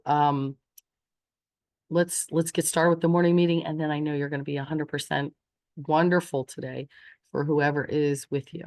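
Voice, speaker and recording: Teacher with a stuffy cold. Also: female voice, deep voice, darkish tone. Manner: sing-song, encouraging teacher voice for young children. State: stuffy nose and scratchy throat from a cold. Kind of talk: recorded classroom morning meeting.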